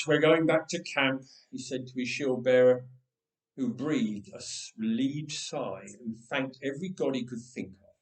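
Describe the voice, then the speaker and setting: Male storyteller, theatrical and slow-paced, wide swings in pitch, recorded in an echoey church. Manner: theatrical, deliberate. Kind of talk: expressive dramatic story reading. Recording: echoey room, distant from mic.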